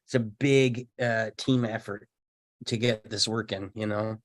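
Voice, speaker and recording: A man's voice: fairly low pitch, fairly even intonation, measured pace. A man chatting casually in a group discussion, talking in an informal, colloquial way. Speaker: discussion participant. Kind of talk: casual chat.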